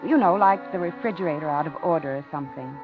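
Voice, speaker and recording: An American actress playing a polite, uneasy widowed office worker in a 1950s radio suspense drama, confiding and genteel. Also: female voice, deep voice, quick pace, lightly acted. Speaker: radio drama lead and narrator. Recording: muffled 1950s radio recording, organ music.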